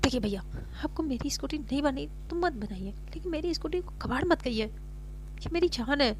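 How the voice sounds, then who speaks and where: A woman's voice: high voice, normal speed, darkish tone, slight background noise, natural voice. A woman voicing a hot-tempered young woman who begs a mechanic to fix her broken scooter. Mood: indignant. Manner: whiny begging, then snapping back.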